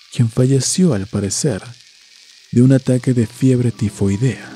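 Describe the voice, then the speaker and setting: A man reading a scripted horror story in a measured, literary storytelling manner. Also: medium pitch, warm tone. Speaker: narrator. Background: music.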